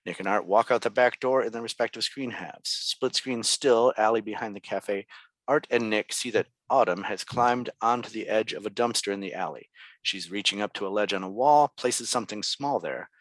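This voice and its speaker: A man narrates stage directions from a script. His voice is on the low side. The sound is dry, with little room in it. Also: moderate pace, no echo.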